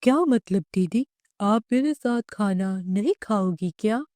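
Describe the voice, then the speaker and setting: A woman's voice: medium pitch, measured pace, a little mellow, no room sound, studio quality, close to an everyday voice. A woman voicing a caring, earnest little brother in a cartoon moral story, childlike and pleading. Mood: insistent, caring.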